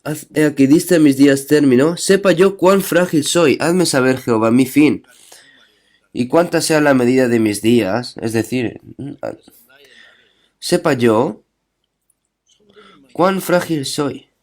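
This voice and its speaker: A male preacher delivers a sermon at a middle pitch. He mixes scripture readings with retold stories. He keeps checking in with his listeners through short asides and asks them rhetorical questions. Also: slow pace, long pauses, darkish tone.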